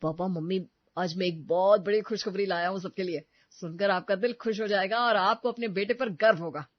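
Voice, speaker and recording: A man's voice: high voice, fairly quick, no room sound, dull audio, natural voice. A man playing a self-absorbed, brusque, money-obsessed husband in a dramatised story. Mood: proud, excited. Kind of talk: dramatised dialogue in an audio story.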